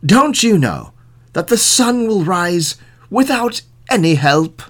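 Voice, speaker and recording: A stern sheriff acted out by a male storyteller speaks in drawn-out, emphatic phrases. He sounds incredulous and scolding, with very lively swings of pitch. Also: full character voice. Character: stern, incredulous sheriff questioning foolish townsmen.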